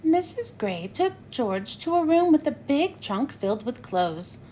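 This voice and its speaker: Expressive, high-voiced woman storyteller. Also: measured pace, a little hiss or hum. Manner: expressive, sing-song storytelling. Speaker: children's book reader. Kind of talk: picture book read-aloud.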